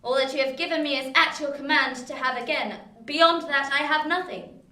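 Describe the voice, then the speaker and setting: Radio play cold, proud young lady: high bright voice, formal and haughty, heard in an echoing room. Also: normal speed. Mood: composed. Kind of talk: theatrical radio play acting. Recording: echoing room, distant from mic.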